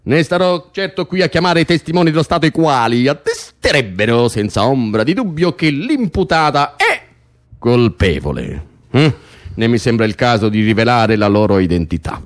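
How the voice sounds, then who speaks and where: Man playing a pompous, animated tyrant king. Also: mid-range voice, normal speed, close to an everyday voice. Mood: pompous, coldly judicial. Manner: pompous, mock-judicial, emphatic. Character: stubborn, pompous tyrant king passing cruel sentence. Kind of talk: theatrical radio play acting.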